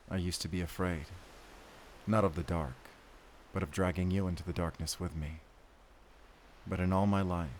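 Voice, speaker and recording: An actor playing a weary, devoted werewolf hunter in an intimate romantic audio roleplay, speaking haltingly and sincerely with soft sighs. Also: male voice, deep voice, creaky, a little hiss or hum.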